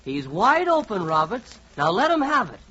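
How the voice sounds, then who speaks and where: A man's voice: high-pitched, huge pitch swings, normal speed, pushed and bright, husky. A man narrating a 1940s radio comedy in a wry, conversational, second-person style. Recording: muffled, faintly noisy 1940s radio recording.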